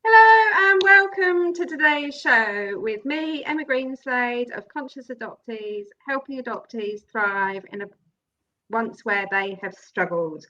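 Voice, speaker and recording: A woman hosts an interview in a bright, fairly high voice. She talks slowly and chattily, encouraging her guest and laughing easily. The recording sounds a little dull and lo-fi. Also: lively intonation. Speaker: podcast host. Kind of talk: casual interview.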